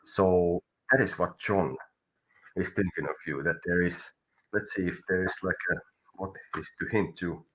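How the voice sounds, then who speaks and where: A man with a Finnish accent and a low, husky voice gives a live tarot reading. He speaks haltingly and often restarts words while searching for them. His native language is Finnish. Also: fairly bright tone, rough recording. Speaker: tarot reader.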